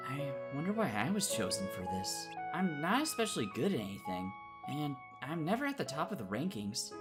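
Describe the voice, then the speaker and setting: A woman's voice: low voice, sing-song, a little fast. A woman voicing a shy, lonely, self-doubting teenage boy in an anime-style game fan dub. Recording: music behind the voice.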